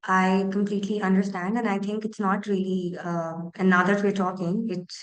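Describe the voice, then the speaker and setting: A woman hosting and moderating a panel discussion, posing long, measured, multi-part questions. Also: mid-range voice, level tone. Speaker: host and moderator.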